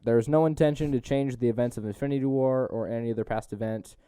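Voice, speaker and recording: A man's voice: medium pitch, fast, warm tone, clean tone, no room sound. A man hosting a podcast, chatting casually about a movie as he works through a plot summary. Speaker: podcast host.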